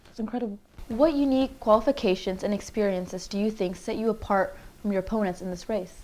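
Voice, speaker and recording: Female interviewer, dark mellow voice, polite prepared questions. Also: mid-range voice, quick pace.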